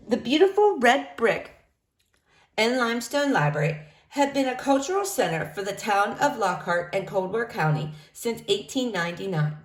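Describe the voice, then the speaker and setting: A woman reading a children's book aloud, narrating in an expressive storytelling manner. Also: medium pitch. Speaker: storybook reader.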